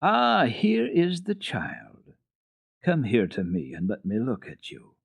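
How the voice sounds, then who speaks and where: A man playing a merry, decisive old grandmother, swooping in pitch. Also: huge pitch swings, slow pace, gravelly, breathy edge, exaggerated voice. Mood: warm amusement. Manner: warm, amused, emphatic and decisive. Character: kindly, merry, brisk old grandmother of a wealthy house. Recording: dull, roomy old radio recording.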